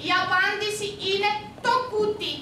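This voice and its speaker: Wise storybook owl, solemn rhyming declamation, very high, distant in a noisy echoey hall. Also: female voice, level tone. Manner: declaiming in rhyme. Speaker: actor in a children's musical play. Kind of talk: children's theatre. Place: stage in a theatre hall.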